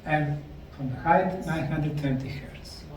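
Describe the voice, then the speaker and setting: Slow-speaking man lecturing in an echoey room. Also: fairly high pitch, a little mellow. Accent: Bosnian. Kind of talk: slow public lecture to a live audience. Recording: echoey room, mic far off, faint noise.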